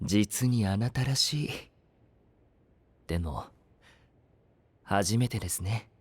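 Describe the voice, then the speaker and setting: A man's voice: lowish voice, slow pace, husky, dry recording. A male actor playing a serene, elegantly polite young knight with a lightly teasing composure in an anime audio drama. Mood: composed, knowing.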